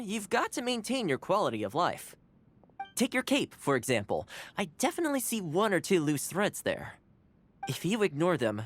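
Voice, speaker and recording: An actor playing a gentle, courteous young man in a video game, speaking in a measured, encouraging way. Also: high voice. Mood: warm, attentive. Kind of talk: scripted game character dialogue.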